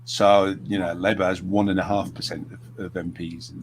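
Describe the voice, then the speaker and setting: Deep bloke hosting, says you know a lot, noisy background. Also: male voice, flat delivery, moderate pace. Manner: rambling, frequent "you know" fillers. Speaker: host. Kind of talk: casual political chat. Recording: noticeable background noise.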